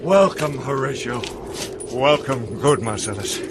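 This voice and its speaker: An actor playing a jumpy, excitable castle sentinel, speaking classical verse with urgent drama in an audio drama. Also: male voice, mid-range voice, unhurried, noisy background, close to an everyday voice. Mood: eager.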